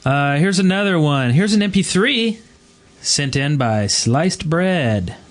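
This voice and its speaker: A man's voice: on the high side, lively intonation, slow pace. A man hosting a podcast in casual chat, feeling his way through his phrases. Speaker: podcast host.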